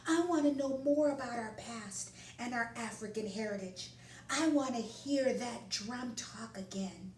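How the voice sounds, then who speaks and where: A woman voicing an eager, curious little boy in a read-aloud storybook, speaking high and excited. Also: a little slow, close to an everyday voice. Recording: roomy, distant, faint background noise.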